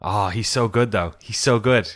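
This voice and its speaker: A man's voice: lowish voice, normal speed, fairly bright tone. A man, co-hosting a podcast in casual chat, joking and laughing often. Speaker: podcast co-host.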